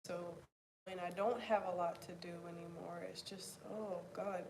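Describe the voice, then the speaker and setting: Soft-spoken woman, reflective home video journal. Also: fairly low pitch, fairly even intonation, a little hiss or hum, low-quality recording. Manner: pauses to think, drops to near whispers. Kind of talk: reflective casual talk to camera.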